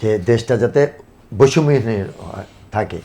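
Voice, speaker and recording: A middle-aged man with a Bangladeshi accent talks as a guest in an interview. His voice is on the low side, and he answers conversationally in an affirming way. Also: measured pace, dark tone. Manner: echoes and affirms the other speaker's words. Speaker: interview guest, bereaved father.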